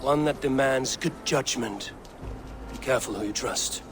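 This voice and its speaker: An actor playing a steadfast, loyal Viking warrior in a video game, with a direct and assured manner. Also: male voice, medium pitch, normal speed, music in the background, close to an everyday voice. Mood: steady.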